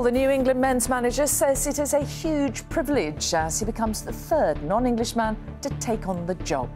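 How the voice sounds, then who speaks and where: Upbeat woman news presenter, lively pitch. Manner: bright, upbeat delivery.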